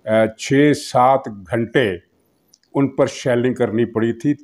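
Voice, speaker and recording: A man's voice: medium pitch, slow pace, darkish tone. A man with a Pakistani accent giving unscripted political commentary, explaining things and repeating phrases for emphasis.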